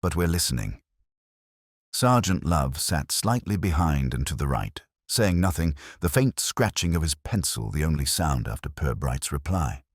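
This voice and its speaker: A man's voice: rumbling low, big pitch swings, normal speed, hardly any pauses, slightly rough, dry recording, clean studio sound. A man reading scripted narration for a mystery story.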